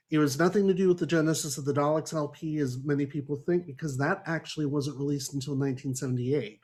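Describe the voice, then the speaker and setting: A man with a fairly high voice presents as a podcast host. He moves through scripted introductory material and drops in dry, wry remarks as he goes. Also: darkish tone. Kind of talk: semi-scripted book discussion introduction with ad-libbed asides. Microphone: online group call.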